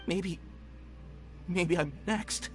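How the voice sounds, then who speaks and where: Scared nervous game miner, kinda high voice, halting and anxious, loud music behind. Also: male voice. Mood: anxious, frightened. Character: nervous, shaken male mine worker, timid and fearful. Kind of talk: video game voice acting.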